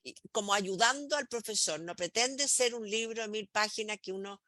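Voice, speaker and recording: A woman's voice: medium pitch, moderate pace, pushed and bright, no echo, studio quality. A middle-aged woman, hosting an online talk for teachers and walking through slides with frequent "eh" fillers and restarts. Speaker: host presenting materials to teachers.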